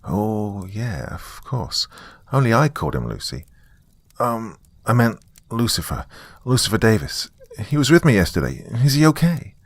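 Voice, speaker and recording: A man's voice: fairly low pitch, sing-song, vocal fry, no echo, pitched above the performer's own voice, heavily acted. Anxious young survivor acted by a male narrator, hesitant, worried for a friend, story narration. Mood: worried, panicked. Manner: halting, worried.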